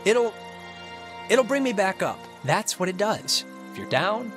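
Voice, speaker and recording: Teenage boy, American, high voice, swooping intonation, goofy laid-back stoner, rambling and defensive, game dialogue over music. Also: big pitch swings, a little slow, full character voice. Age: young adult. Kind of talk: naturalistic video game dialogue. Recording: music behind the voice.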